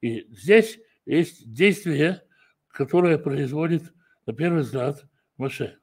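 A man praying and then teaching from Scripture, speaking slowly and deliberately, often pausing to correct his own words. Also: a little high, expressive, darkish tone, slightly rough. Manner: slow, measured delivery with frequent hesitations and self-corrections. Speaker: preacher and Bible teacher. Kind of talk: prayer, then a Torah study lesson.

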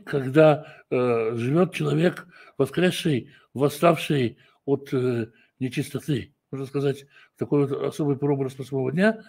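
A man praying and then teaching from Scripture, speaking slowly and deliberately, often pausing to correct his own words. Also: on the high side, darkish tone. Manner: slow, measured delivery with frequent hesitations and self-corrections. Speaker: preacher and Bible teacher. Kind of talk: prayer, then a Torah study lesson.

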